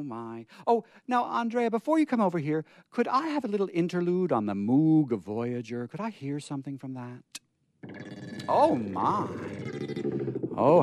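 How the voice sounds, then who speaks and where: A man hosting a whimsical live show in a playful, theatrical and effusive manner. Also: a little high. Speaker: host.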